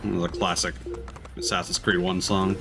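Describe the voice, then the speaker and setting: A man's voice: sits low, little pitch movement, normal speed. A man giving casual let's-play commentary as a game streamer, thinking aloud in a hesitant way. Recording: game music behind the voice. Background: video game music.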